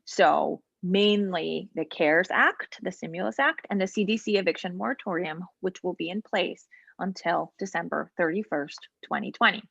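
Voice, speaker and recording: A woman trainer patiently walking a practice client through online software during a role-play interview. She explains each step and often pauses with ums and short asides. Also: on the low side, creaky, husky, dry recording, highs cut off. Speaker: legal aid trainer. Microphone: video call.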